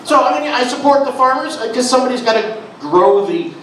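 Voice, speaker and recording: A middle-aged man performing a sardonic stand-up comedy set on a small club stage, with deadpan asides and lots of "uh" fillers. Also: very high voice, fairly quick, a little hiss or hum. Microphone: handheld mic, filmed from the back of the room. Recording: echoey club audio from far off. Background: audience laughter.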